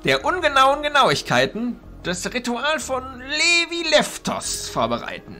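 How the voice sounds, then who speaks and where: Man playing a theatrical, high-pitched elderly arch-chancellor. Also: wildly swooping pitch, sharp tone, faint music behind, exaggerated voice. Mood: commanding, grave. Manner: theatrical, deliberate, musing. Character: elderly, authoritative male arch-chancellor of illusionists. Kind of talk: fantasy audio drama acting.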